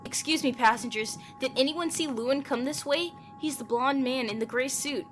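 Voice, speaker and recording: A woman's voice: sits high, normal speed, pitched above the performer's own voice, close to an everyday voice. A serious, determined conductor sleuth from a visual novel mystery, performed by a woman. He speaks earnestly and courteously, with a touch of drama. Faint music sits underneath. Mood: urgent. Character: earnest, determined male train conductor playing detective.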